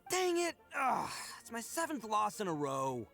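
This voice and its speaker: A man's voice: sits high, huge pitch swings, unhurried, vocal fry, fairly bright tone, soft background music, full character voice. A man voicing a brash, big-hearted but dim young oni brawler in a video game, cocky and full of stammering bluster. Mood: dismayed.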